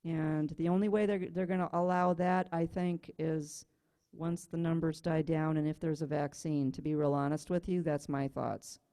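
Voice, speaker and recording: A woman's voice: low-pitched, steady tone, moderate pace, mellow. Chatty Wisconsin woman teaching online. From Wisconsin, USA. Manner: chatty, informal, folksy. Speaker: music class teacher and host. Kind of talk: casual chat with students on a video class. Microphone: video call.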